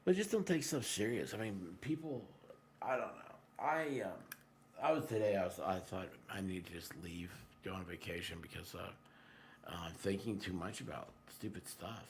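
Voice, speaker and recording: A man talking casually as a live streamer, telling stories with big swings between loud and quiet. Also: sits low, expressive, normal speed, a little hiss or hum. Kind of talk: casual chat on a livestream.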